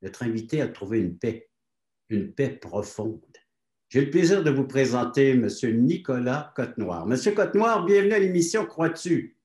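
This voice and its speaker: A man's voice: mid-range voice, very animated, normal speed, darkish tone. Echoey male Quebec interview host. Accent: Quebec French. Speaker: host of a testimony show. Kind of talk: presenter introduction and interview. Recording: roomy echo.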